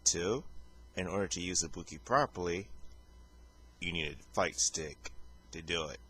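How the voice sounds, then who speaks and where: A man's voice: low-pitched, normal speed, sharp tone, husky. A man giving casual fighting-game commentary, rambling and hesitant, with many fillers and restarts. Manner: calls his own voice boring. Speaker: gameplay commentator.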